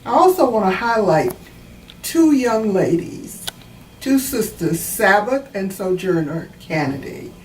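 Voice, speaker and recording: A woman reading a children's book aloud and explaining it in a deliberate, teacherly way. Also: medium pitch, very animated, darkish tone. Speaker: author reading own book. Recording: roomy, distant mic, faint background noise.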